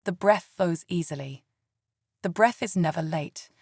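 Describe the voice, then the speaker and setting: A woman leading a scripted guided meditation in a calm, soothing and gentle way. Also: lowish voice, unhurried, creaky, slightly rough, no echo, studio quality.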